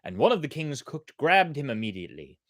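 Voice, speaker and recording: A storyteller giving expressive, theatrical and deliberate narration of a folktale. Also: mid-range voice, no echo, studio quality. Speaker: storyteller, host.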